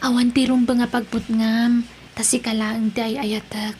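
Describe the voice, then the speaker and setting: A woman's voice: on the high side, flat delivery, normal speed, darkish tone, slight background noise, natural voice. A woman with a Filipino accent playing a sweet, evasive teenage schoolgirl daughter in a family drama. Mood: tender, hushed. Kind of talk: Filipino radio-style family drama acting.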